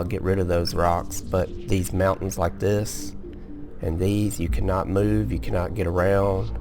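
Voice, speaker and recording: A man hosting a casual gameplay video, sleepy and relaxed, explaining each step as he goes with small restarts. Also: lowish voice. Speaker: gaming video host. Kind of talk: casual let's-play commentary.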